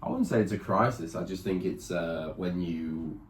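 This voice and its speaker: A man's voice: deep voice, moderate pace, a little hiss or hum. A young man from northern England chatting casually and thoughtfully, often hedging and searching for words. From northern England, near Huddersfield. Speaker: vlogger's partner. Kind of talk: casual chat. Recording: echoey room with faint ambience.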